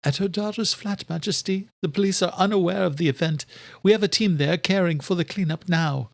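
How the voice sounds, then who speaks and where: A man voicing an anxious, high-pitched female servant. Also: brisk, gravelly, dry recording. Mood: grave, reporting. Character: anxious, deferential female vampire servant bearing bad news.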